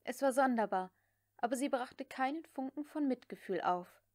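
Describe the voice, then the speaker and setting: A woman narrates a literary audiobook. Her voice sits fairly high for a woman. The recording is dry, with very little room sound. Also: animated, moderate pace, darkish tone, no echo.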